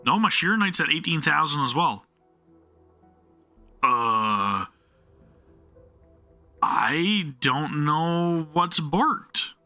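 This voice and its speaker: A man's voice: fairly high pitch, sing-song, drawn-out words, long pauses, sharp tone, soft background music. A man live-streaming a game, talking to viewers and thinking aloud in a casual way, often questioning himself.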